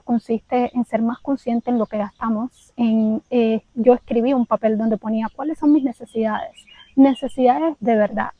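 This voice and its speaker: High-voiced Cuban woman telling her story. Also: no room sound, phone-quality sound. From Cuba. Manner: candid, reflective, speaks directly to viewers. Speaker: vlogger. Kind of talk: personal vlog storytelling.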